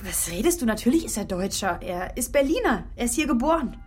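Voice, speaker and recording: A young music student in a radio crime drama. She is an earnest, loyal daughter with a defiant streak, her voice is fairly high, and music plays behind her. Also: big pitch swings, a little fast. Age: young adult. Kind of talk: naturalistic German radio crime drama acting.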